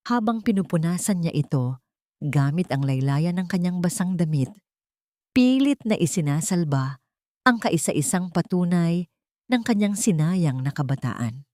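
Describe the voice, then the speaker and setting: A woman's voice: low-pitched, warm tone, no echo, studio quality. A woman narrating a scripted dramatic story as an audiobook.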